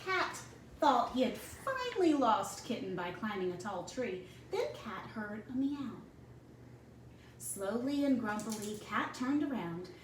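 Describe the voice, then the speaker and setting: A woman reading a picture book aloud to young children in a deliberate way, pausing for asides to the listeners. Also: on the high side, sing-song. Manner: slow, deliberate phrasing for children. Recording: roomy, distant mic with clear background noise.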